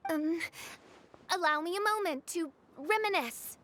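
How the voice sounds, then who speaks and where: A woman's voice: very high-pitched, measured pace, a bit bright, soft background music. A woman voicing a haughty, theatrical teenage girl who speaks as a pompous gothic princess in a video game. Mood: flustered but regal. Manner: pompous, grandiose archaic speech.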